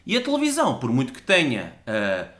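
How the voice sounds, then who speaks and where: A Portuguese man with a European Portuguese accent holds forth in a sarcastic, rambling monologue. His voice is bright and forward, and it swings widely up and down as he gets worked up. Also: medium pitch, huge pitch swings, brisk. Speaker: podcast guest. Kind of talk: ranting monologue.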